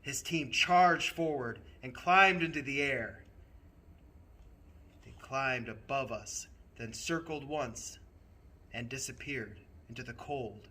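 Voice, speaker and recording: Male storyteller, mid-pitched and forceful, theatrical children's book delivery, deliberate pauses, slight background noise. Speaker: storyteller playing a train conductor. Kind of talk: expressive children's storybook narration.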